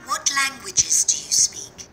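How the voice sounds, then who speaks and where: Clear, bright-voiced woman reading lessons. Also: in a whisper. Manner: slow, clear, careful speech. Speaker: course audio reader. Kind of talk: scripted coursebook dialogue.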